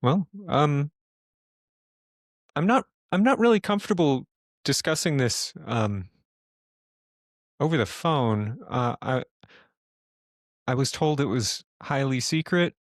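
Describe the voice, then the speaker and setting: A man voicing a hesitant, guarded university librarian woman taking a phone call in a tabletop game, speaking in a halting, tentative way. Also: medium pitch, animated, dry recording, studio quality.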